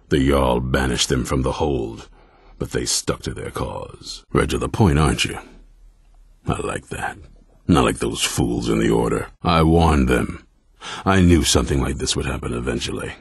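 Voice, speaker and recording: Game role: grim veteran monster-hunting commander, extremely low and very raspy, blunt and distrustful. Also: male voice, no echo, clean studio sound, full character voice. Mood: stern, measured, then scornful, bitter. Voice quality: gravelly, husky. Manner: gruff, blunt, stern. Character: grim, distrustful, battle-hardened male vampire hunter commander. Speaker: leader of an order of vampire hunters. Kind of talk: video game character dialogue.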